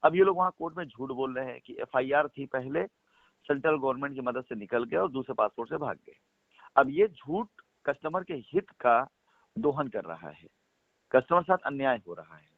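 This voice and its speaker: A man's voice: medium pitch, lively intonation, moderate pace, no room sound. A man on a phone call, speaking for a company, making his points insistently and repeating them for emphasis. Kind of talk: persuasive phone conversation. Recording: tinny phone line.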